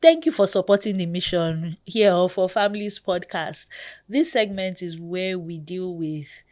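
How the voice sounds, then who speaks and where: A woman in her thirties from Nigeria with a Nigerian accent, hosting a podcast in a chatty, expressive way. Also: on the low side, unhurried. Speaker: podcast host. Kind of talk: casual podcast monologue.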